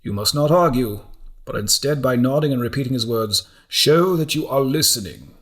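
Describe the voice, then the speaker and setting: A man voicing a calm, decisive older monastic mentor, firm and instructive, in an audiobook. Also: mid-range voice, normal speed, voice pushed up, natural voice. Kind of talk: audiobook character acting.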